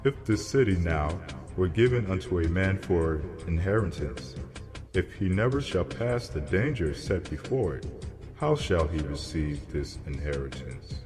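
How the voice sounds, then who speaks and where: Man voicing a deep, echoing, solemn angel. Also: lively intonation. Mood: grave, instructive. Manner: grave, measured, declaiming. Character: solemn, commanding male angel delivering divine parables. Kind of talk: dramatized scripture reading. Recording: long cavernous reverb under music.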